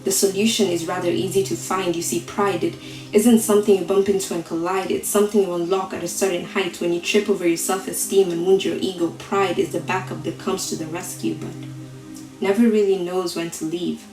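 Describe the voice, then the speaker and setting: A woman with a slightly low voice recites slam poetry in a roomy, echoing space. Her delivery is deliberate and rhetorical, broken by dramatic pauses. Faint music plays behind her. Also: fairly even intonation, a little mellow. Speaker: slam poet.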